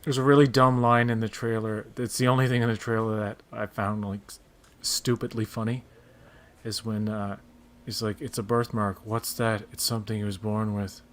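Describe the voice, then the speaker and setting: A man's voice: on the low side, little pitch movement, moderate pace, slight background noise. A man chatting casually and enthusiastically, with strong opinions, as a panelist on a pop culture show. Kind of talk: casual chat about movie trailers.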